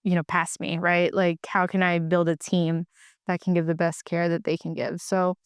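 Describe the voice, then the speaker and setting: A woman's voice: fairly low pitch, little pitch movement, moderate pace, clean tone, no echo, clean studio sound. A woman in her thirties from the Philippines, a native Tagalog speaker, talking casually as a podcast guest with many "like"s and restarts. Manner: chatty, heavy use of "like", frequent restarts. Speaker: podcast guest, physical therapist. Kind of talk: casual conversation.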